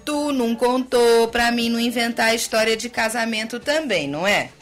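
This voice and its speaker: An actress playing a nosy, teasing Brazilian village wife in a radio soap. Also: female voice, on the high side, normal speed, faint music behind, close to an everyday voice. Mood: teasing, probing. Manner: teasing, probing. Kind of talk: radio soap opera acting.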